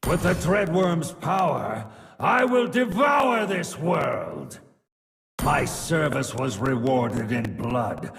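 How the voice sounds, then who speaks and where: A long reverb and music surround this wrathful betrayed warrior from a video game. The voice is pitched high for a man and swings dramatically in range. The delivery is grandiose and menacing, with a gravelly edge. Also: a bit bright, distant mic, full character voice. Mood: brooding menace. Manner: theatrical, grandiose, menacing declamation. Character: vengeful betrayed male warrior wielding infernal worm power, wrathful. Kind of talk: video game character voice lines.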